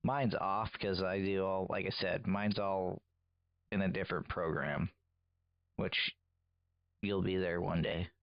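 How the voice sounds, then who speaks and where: Deep gravelly man, dry and muffled. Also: fairly even intonation, unhurried, long pauses. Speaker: CB radio operator coaching another on audio settings. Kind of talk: casual technical instruction.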